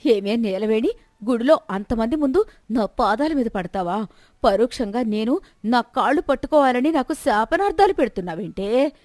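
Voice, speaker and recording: A sharp-tongued older mother-in-law played by a woman narrator from a village storybook. She is theatrical and two-faced, in a fairly high voice recorded dry. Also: animated, moderate pace, dry recording, lightly acted. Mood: angry scolding. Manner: theatrical, scolding or syrupy.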